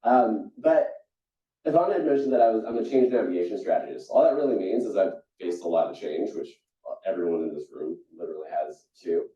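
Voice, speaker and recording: A young man from Singapore giving a keynote talk, telling stories in a lively way with many restarts. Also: a little fast. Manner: storytelling, animated with jumps in pitch and frequent restarts. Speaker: keynote speaker. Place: conference hall. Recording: echoey hall, distant and dull.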